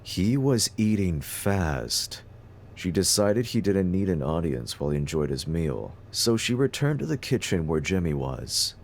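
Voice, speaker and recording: Very deep male story narrator. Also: big pitch swings, measured pace, darkish tone.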